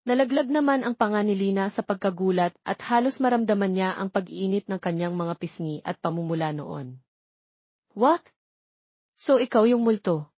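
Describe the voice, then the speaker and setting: A woman's voice: medium pitch, fairly quick, no room sound, lo-fi sound. A woman narrating romance fiction as a scripted, dramatic story reading.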